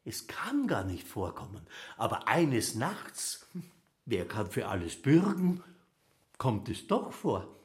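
An actor playing a self-satisfied, long-winded official in a literary radio drama, musing softly and fervently in a husky, creaky voice. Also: male voice, mid-range voice, wildly swooping pitch, slow pace, no echo, studio quality, full character voice. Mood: hushed, confiding. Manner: soft, self-absorbed, long-winded explaining. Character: rambling, self-satisfied male castle official, quietly fervent.

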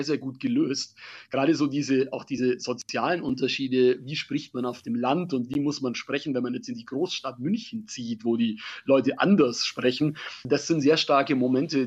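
A man's voice: medium pitch, husky. A man giving an appreciative spoken review in an interview, repeating words for emphasis. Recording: dull, limited-band sound.

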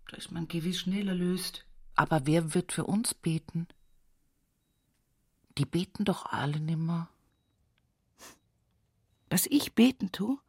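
An actress playing a grieving, devout old country mother in a radio play monologue, plain-spoken and often hushed. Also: mid-range voice, slightly rough, no room sound, clean studio sound. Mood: hushed, solemn, then devout, insistent. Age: older. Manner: slow, hushed, often whispering, folksy.

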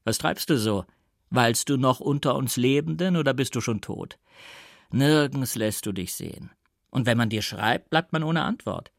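A man voicing a breezy young gentleman in an audiobook, hearty and chattering, half annoyed and half joking. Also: mid-range voice, expressive, moderate pace, gravelly, no echo, clean studio sound. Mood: jovial reproach. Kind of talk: audiobook character voice.

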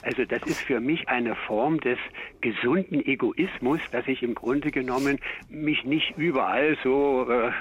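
A man's voice: medium pitch, measured pace, a little hiss or hum. A man in his seventies from Berlin, calling in to a radio show and talking frankly about himself at length. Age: 70s. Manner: talkative, frank, thinking aloud. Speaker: caller. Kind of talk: phone-in radio call. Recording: dull lo-fi phone line.

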